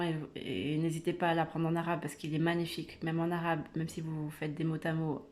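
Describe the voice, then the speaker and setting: A woman's voice: low voice, a little mellow. A woman giving an informal religious talk, earnest and hesitant, with many fillers and restarts. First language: not Arabic. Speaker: religious video creator. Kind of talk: informal spoken religious exhortation.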